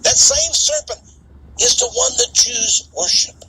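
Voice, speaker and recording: A man's voice: very high-pitched, huge pitch swings, a little slow, creaky, sharp tone, a little hiss or hum, rough recording. A man preaching in an impassioned monologue, speaking emphatically to his listeners and repeating words for stress.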